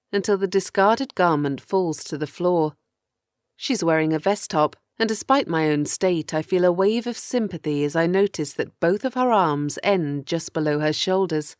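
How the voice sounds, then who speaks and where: A woman voicing a captive thirty-year-old woman, weary and afraid, telling her horror story in measured, grim audiobook narration. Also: fairly low pitch, clean tone, no echo, studio quality, close to an everyday voice. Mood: uneasy, horrified.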